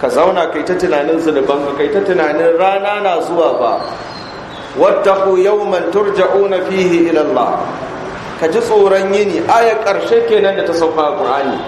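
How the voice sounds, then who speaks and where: A man with a Hausa accent, a Nigerian Islamic scholar teaching in a mosque, reading Arabic lines and then explaining them slowly. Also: high voice. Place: large mosque hall. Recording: echoey hall recording with background noise.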